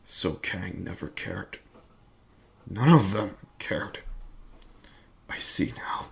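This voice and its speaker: A man acting an earnest, reserved, homesick young operative in a dramatic reading, with measured, formal phrasing. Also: low-pitched, animated, creaky, husky, in a whisper, exaggerated voice. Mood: troubled. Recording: loud background music. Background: loud music.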